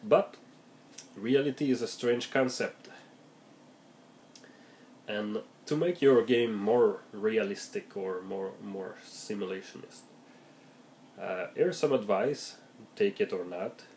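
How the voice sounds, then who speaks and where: A man with a heavy cold, his voice raspy, talking casually and hesitantly with many fillers as he shares tips for game masters. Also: on the low side, slow pace, long pauses, darkish tone, slight background noise. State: has a heavy cold, voice deeper and raspier than usual. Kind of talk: casual vlog monologue.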